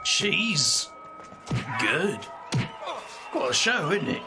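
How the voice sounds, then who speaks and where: A man playing a casual, loyal ally of supernatural outcasts in an audio drama, conversational and a little hesitant, with a creaky voice. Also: sits high, very animated, extremely slow, loud music behind the voice, full character voice. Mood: excited.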